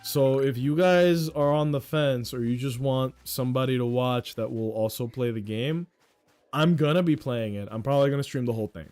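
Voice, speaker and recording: A young man streaming a game, chatting casually with his viewers in a loud voice, swearing freely and greeting chat members by name. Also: medium pitch, normal speed, soft background music. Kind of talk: casual gaming livestream chat.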